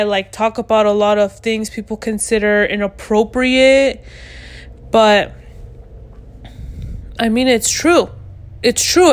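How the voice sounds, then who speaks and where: A woman in her twenties from the United States, hosting a podcast in loud, blunt casual chat and swearing freely. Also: on the high side. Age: 20s. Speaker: podcast host.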